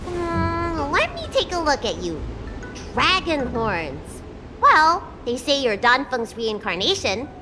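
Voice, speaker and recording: A woman's voice: sits high, wildly swooping pitch, slow pace, music in the background, full character voice, rough recording. A woman voicing a small dragon girl in a video game, a frank and curious young elder with a playful, childlike manner.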